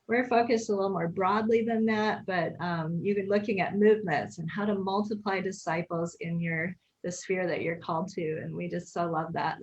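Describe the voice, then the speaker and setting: A woman's voice: mid-range voice, lo-fi sound. A woman hosting a casual video call chat, upbeat and friendly, with frequent fillers. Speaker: host.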